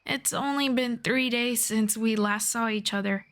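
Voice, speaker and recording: A woman voicing a gentle, teasing young elf friend in an unhurried fantasy roleplay audio drama in ASMR style. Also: a little high. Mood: impressed, teasing.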